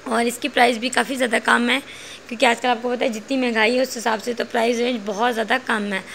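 Woman with a gravelly, flat voice. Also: on the high side, quick pace, a little hiss or hum. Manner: chatty, praising, listing prices. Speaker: vlogger showing clothes at a shop. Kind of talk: casual shopping vlog narration. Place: indoor clothing shop.

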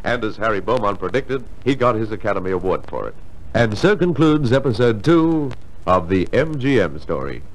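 A man's voice: on the low side, expressive, normal speed, rough recording. Male vintage radio drama announcer. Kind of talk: scripted vintage radio narration.

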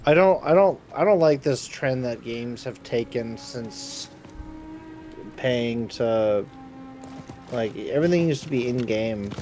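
Lively male game streamer. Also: medium pitch, slow pace. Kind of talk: casual livestream chat. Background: faint game music.

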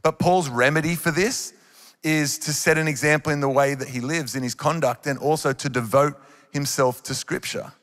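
A man's voice: on the high side, fairly even intonation, normal speed, fairly bright tone. A man in his thirties with an Australian accent, preaching a sermon on a church stage into a handheld mic, earnest and encouraging. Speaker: worship leader preaching. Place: large church auditorium on stage. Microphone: handheld microphone. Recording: live hall reverb.

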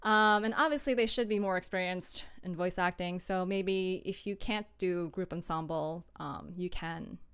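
Young female streamer, smooth clear voice, informal and giggly, talking through a work process. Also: lowish voice, normal speed, hardly any pauses, fairly bright tone. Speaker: streamer and audio drama producer. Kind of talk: casual chat, explaining her work process.

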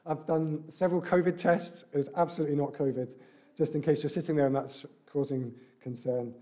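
A man, preaching a sermon at a lectern mic in a church hall, a little croaky from a cough, talking conversationally with rhetorical questions. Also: fairly high pitch, fairly even intonation, brisk, warm tone. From England. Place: large church hall with brick wall, indoors. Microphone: stand mic at a lectern.

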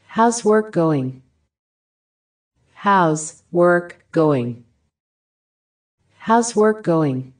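A woman reading short English phrases for a language lesson, each one repeated with careful, even enunciation. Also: lowish voice, drawn-out words, long pauses. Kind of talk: slow repeated phrase drills.

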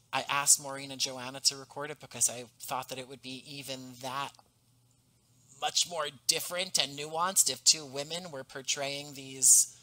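A man in his thirties, from the US East Coast, being interviewed over a video call about the audio drama he created, speaking in a thoughtful, earnest, measured way into a headset mic. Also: medium pitch, bright, forceful tone, rough recording. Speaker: podcast creator and guest. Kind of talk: reflective conversational interview. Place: home room, on a video call. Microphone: headset boom mic.

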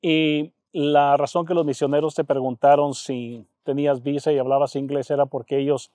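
A man's voice: fairly high pitch, flat delivery, moderate pace, dark tone, no room sound, studio quality. A male Spanish-language baseball broadcaster conducting an interview, asking conversational follow-up questions.

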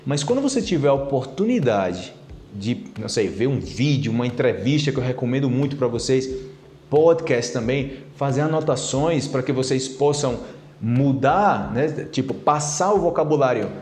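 A Brazilian man in his thirties teaching a casual video lesson to camera on a lapel mic, animated and chatty, tagging his sentences with little questions. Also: mid-range voice, moderate pace, reverberant. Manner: animated, gesturing a lot with his hands; chatty, with many tag questions. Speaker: Portuguese teacher. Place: plain-walled room indoors.

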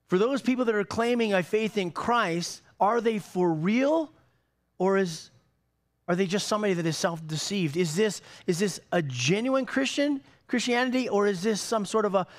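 A middle-aged man preaching a sermon on a church stage, animated, with many repeated words and restarts. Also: high-pitched, normal speed. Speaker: pastor.

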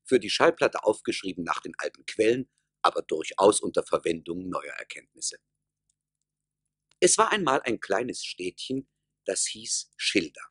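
Male narrator for fairy-tale radio play: lowish, husky, measured, wryly expressive, dry sound. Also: no room sound. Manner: measured, wry, expressive storyteller.

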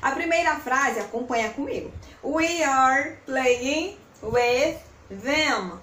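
A woman's voice: sits high, takes it slowly. A young Brazilian woman giving an informal, chatty English lesson to camera, encouraging as she explains. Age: young adult. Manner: chatty, encouraging, animated with tag questions. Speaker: English teacher and video host. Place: small bare-walled room indoors with whiteboard. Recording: echoey room, distant mic, faint hiss.

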